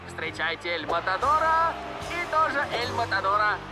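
A performer voicing an excitable cartoon presenter who hypes action figures in a toy advert. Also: medium pitch, normal speed, natural voice. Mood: salesy enthusiasm. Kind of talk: animated cartoon dubbing, promotional presenting. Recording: music playing behind the voice.

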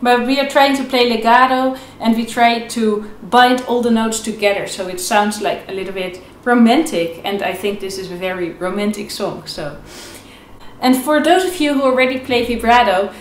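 A young woman with a fairly high voice teaches in an echoey room. She explains informally, step by step, and repeats her key points encouragingly. Some violin is heard around her speech. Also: normal speed, soft background music. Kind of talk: instructional video lesson, explaining informally. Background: violin playing between phrases.

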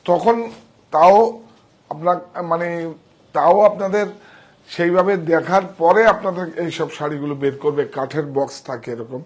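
Man, fifties, slightly high husky voice, emphatic and repetitive, casual shop sales demo. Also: moderate pace. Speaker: shop owner and presenter. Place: indoor saree shop showroom. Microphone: wireless clip-on mic on chest.

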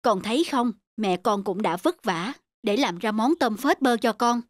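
A man playing a gentle, patient father who explains things to his small son in a cartoon. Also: high voice, normal speed, no room sound, studio quality, natural voice. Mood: patient, earnest. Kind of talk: cartoon dialogue.

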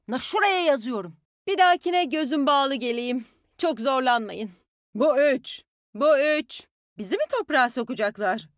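A woman's voice: high voice, dry recording, clean studio sound. An actress playing a spirited, tough young woman fighter in a video game, with folksy, confident call-outs to her team. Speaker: game character voice actor.

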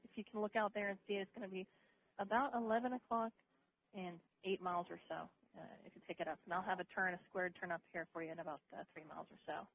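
A woman working as an air traffic controller, giving flight instructions over the radio in procedural phrases with informal asides. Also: on the low side. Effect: aviation radio. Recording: narrow-band air traffic radio, hiss.

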